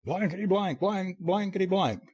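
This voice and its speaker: A man voicing an earnest, flustered young male golfer in a comic story, halting and exclamatory. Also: on the high side, huge pitch swings, dark tone, husky, heavily acted. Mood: explosive fury.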